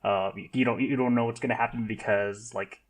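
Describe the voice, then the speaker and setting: A man talking casually as a podcast guest, halting and rambling with many filler words. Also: medium pitch, steady tone. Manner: rambling, halting, heavy use of "like". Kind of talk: casual group discussion.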